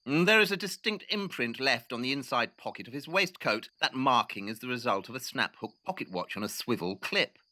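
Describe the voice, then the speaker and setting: A bright, brusque, arrogant detective. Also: male voice, mid-range voice, expressive, a little fast, few pauses, no room sound. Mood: brisk, deductive. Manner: brusque, condescending, theatrical. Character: brilliant, arrogant, impatient Victorian male detective. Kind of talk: audio drama acting.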